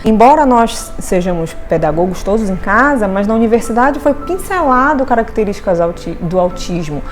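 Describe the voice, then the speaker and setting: A woman speaks earnestly in an interview, often restarting her sentences. Her voice sits a little higher than usual, and soft music plays faintly behind her. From Brazil. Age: 40s. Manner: earnest, reflective, with many restarts and tag questions. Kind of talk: personal testimony interview.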